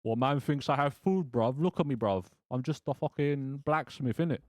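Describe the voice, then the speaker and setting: A man's voice: mid-range voice, moderate pace, darkish tone, no room sound, close to an everyday voice. A man voicing a brusque young Chav blacksmith in improvised tabletop roleplay, slangy, with a put-on British Chav accent. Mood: incredulous, brusque. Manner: slangy, incredulous.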